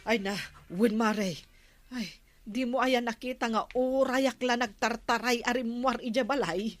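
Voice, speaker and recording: A woman's voice: fairly high pitch, fairly even intonation, measured pace, natural voice. A woman with an Ilocano accent playing a village captain's nagging, exasperated wife in a radio comedy drama. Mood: complaining.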